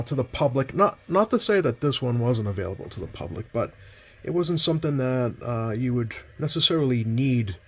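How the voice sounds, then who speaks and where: Halting man, casual bench demo. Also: medium pitch. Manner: hesitant, halting, rambling with self-corrections. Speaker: host, CB radio collector. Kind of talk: casual product demonstration. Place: indoors at a workbench.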